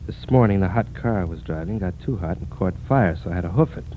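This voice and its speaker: A man's voice: low-pitched, expressive, fast. An actor, a man, playing a cocky, scheming young parole jumper with slangy talk in a 1940s radio drama. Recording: narrow, noisy 1940s radio recording.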